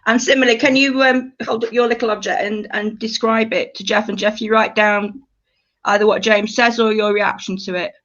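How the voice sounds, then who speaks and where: A woman's voice: medium pitch, steady tone, moderate pace, a bit bright, lo-fi sound. A woman leading an informal writing workshop, giving encouraging instructions and explaining things through examples. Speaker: creative writing workshop leader.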